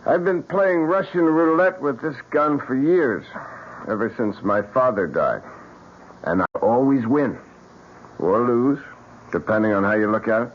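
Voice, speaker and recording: An actor playing an eccentric, morbid painter in an old-time radio mystery, with a theatrical, mockingly cheerful manner. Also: male voice, mid-range voice, unhurried, close to an everyday voice. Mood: morbid, confiding. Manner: theatrical, sardonic, swinging delivery. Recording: muffled, lightly hissy old radio broadcast.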